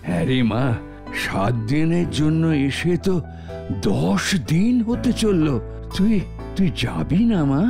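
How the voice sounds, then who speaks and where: The ailing old father on his sickbed in an animated fairy tale, fretting haltingly in a cracked voice over background music. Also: a little high, creaky, heavily acted. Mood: weary concern. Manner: halting, repeats his words. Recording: music behind the voice.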